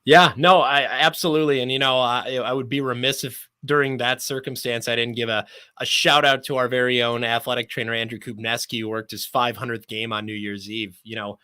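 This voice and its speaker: Man, sports announcer, podcast guest, bright forceful tone, middle pitch, dry recording, talkative, emphatic. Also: steady tone, brisk, smooth, no echo. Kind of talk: conversational sports podcast interview.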